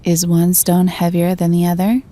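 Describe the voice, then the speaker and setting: Mellow, low-voiced woman guiding meditation. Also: normal speed. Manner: gentle, calm, soothing delivery. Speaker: meditation guide. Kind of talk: scripted guided meditation narration.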